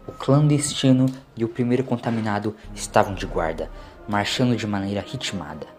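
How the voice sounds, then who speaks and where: A man's voice: on the low side, a little mellow. A man with a Brazilian accent reading a scripted audio story as its narrator. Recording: music under the voice. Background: music.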